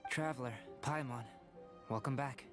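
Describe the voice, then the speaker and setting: A man voicing a calm, scholarly young male alchemist in a video game, speaking in a measured, formal, articulate way. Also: mid-range voice, close to an everyday voice. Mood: courteous. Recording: game music behind the voice.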